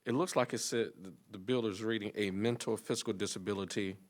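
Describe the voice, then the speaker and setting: Man chairing a committee hearing. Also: fairly low pitch. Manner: informal, thinking aloud, courteous. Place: legislative committee room.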